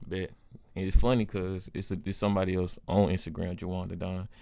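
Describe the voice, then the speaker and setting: A man's voice: sits low, flat delivery, brisk, gravelly, mellow, no room sound. A man with an Atlanta accent chatting casually as a podcast guest, in laid-back, slangy talk. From south side of Atlanta, United States. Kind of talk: casual chat.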